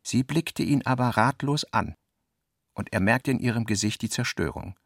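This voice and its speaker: A man narrates literary prose for radio in Standard German. His mid-pitched voice has a gravelly creak and is recorded very dry and close, with no room around it. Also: moderate pace, no echo.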